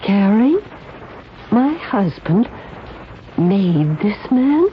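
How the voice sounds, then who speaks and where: Swooping, haughty society wife. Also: female voice, mid-range voice, big pitch swings, extremely slow. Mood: shaken, disbelieving. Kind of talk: 1970s radio drama acting. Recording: dull, hissy old radio recording.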